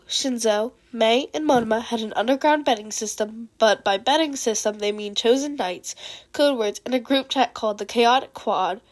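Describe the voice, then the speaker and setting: A woman reading fan fiction aloud as an amateur narrator, sounding a little under the weather. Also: a little high. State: sick with a cold.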